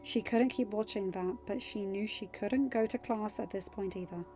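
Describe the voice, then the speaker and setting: A woman narrates fiction in a scripted audiobook style at a medium pitch. Music plays underneath, and the sound is slightly muffled. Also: moderate pace, mellow.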